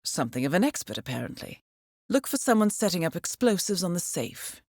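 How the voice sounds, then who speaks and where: A woman voicing a composed middle-aged intelligence handler in a video game, measured and formal with dry understatement. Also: on the low side, big pitch swings, a little mellow, dry recording. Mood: measured, businesslike. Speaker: mission handler. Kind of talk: scripted game voice acting, mission briefing.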